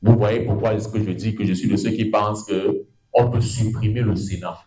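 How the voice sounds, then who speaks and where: A middle-aged man with a Cameroonian French accent giving a university law lecture, lively and digressive, his pitch leaping up for emphasis and his words drawn out. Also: moderate pace, rough recording. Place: lecture room.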